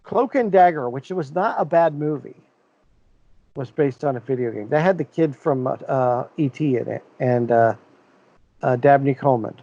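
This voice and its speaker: A man's voice: medium pitch, normal speed, dark tone, dry recording, lo-fi sound. A man co-hosting a casual podcast chat, rambling and joking as he lists titles off the top of his head. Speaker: podcast co-host.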